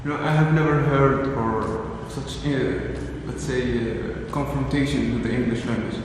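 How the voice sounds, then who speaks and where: A man's voice: medium pitch, moderate pace. A man interviewing his former teacher, polite and formal, asking long questions. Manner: polite, formal, calls guest sir. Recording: echoey room with faint hiss.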